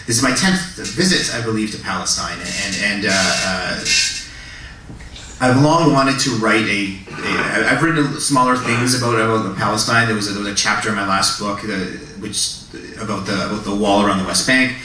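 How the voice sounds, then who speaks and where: An earnest man with a low yet bright, forceful voice talks about his writing, repeating words as he stammers along. He is recorded from far off in a very echoey library hall with a faint hiss. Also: moderate pace. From Canada. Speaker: panel speaker, writer. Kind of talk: festival panel talk. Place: public library event hall. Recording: echoey hall, distant mic, faint noise.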